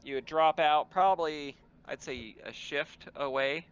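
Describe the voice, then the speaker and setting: A man's voice: fairly high pitch, unhurried, fairly bright tone, clean tone, lo-fi sound. A man running a tabletop game as game master, mixing casual narration with banter among players.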